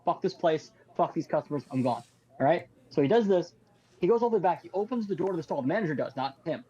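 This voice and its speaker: A man's voice: on the high side, expressive, fast, dark tone, breathy edge, muffled recording. A man in casual podcast banter, bluntly telling crude stories. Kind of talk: casual bantering chat and storytelling.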